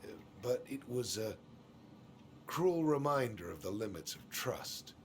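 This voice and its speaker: An actor playing an evasive, folksy middle-aged small-town store boss, speaking hesitantly in naturalistic game dialogue. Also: male voice, medium pitch, big pitch swings, unhurried, slight background noise. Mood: apologetic.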